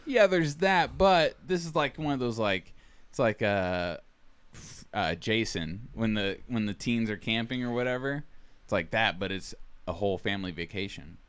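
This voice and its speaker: A young man hosting a casual podcast, loud and excitable, laughing often. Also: lowish voice, normal speed, clean tone, dry recording. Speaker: podcast host. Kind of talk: casual chat.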